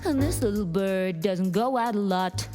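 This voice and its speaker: An actress playing a cheeky little gossip bird, singing in a chirpy sing-song for a musical satire. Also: female voice, mid-range voice, moderate pace, music in the background, lightly acted.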